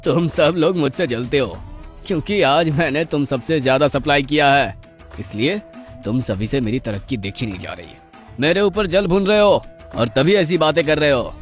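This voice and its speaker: Man acting a dramatic village master, highish voice. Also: lightly acted. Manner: dramatic, emphatic. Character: greedy, cruel male village master, self-pitying when cornered. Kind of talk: Hindi cartoon story voice acting.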